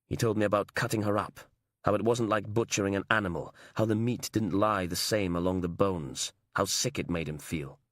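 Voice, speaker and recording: A man's voice: low voice, steady tone, a little fast, fairly bright tone, no echo. A man reading a whiny, self-pitying male inmate who puts on a fake posh accent. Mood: shaken. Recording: dry close studio sound.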